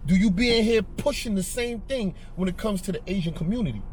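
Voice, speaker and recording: A man's voice: high-pitched, lively intonation, fairly quick, slight background noise. A man from Harlem, a podcast guest arguing his point bluntly and pressing the host. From Harlem, New York. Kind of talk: heated podcast debate.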